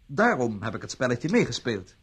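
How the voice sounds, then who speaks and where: An actor playing a flippant bon vivant gambler under suspicion, glib and protesting, in a radio drama. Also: male voice, mid-range voice, sing-song, moderate pace, a bit bright, slightly rough. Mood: protesting, defensive. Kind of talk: vintage Dutch radio drama acting.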